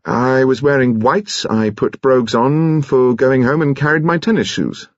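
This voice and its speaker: A British man voicing an affable young upper-class grandson in an audiobook, polite, fond and gently teasing. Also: mid-range voice, moderate pace, no room sound, dull audio, voice pushed up, close to an everyday voice. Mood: polite, careful. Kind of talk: audiobook character acting.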